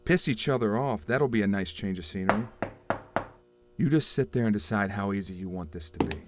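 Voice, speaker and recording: A man's voice: fairly low pitch, a little fast, heavy background noise, close to an everyday voice. A man playing a dogged, irritable, confrontational police detective in a noir audio drama. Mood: stern, irritated. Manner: confrontational, blunt.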